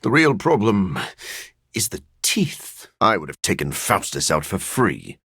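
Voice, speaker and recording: Brooding vampire scientist, video game character, gravelly, erudite, precise, dryly sardonic, expressive pitch movement, dry close recording. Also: male voice, measured pace, dry recording, exaggerated voice. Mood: dry, thoughtful. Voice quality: raspy, gravelly. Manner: formal, precise, deadpan.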